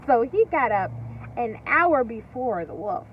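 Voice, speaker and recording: A woman teacher reading a story aloud to young children, expressive and deliberate, pausing to explain and ask questions. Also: on the high side. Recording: distant, dull lo-fi recording with faint noise.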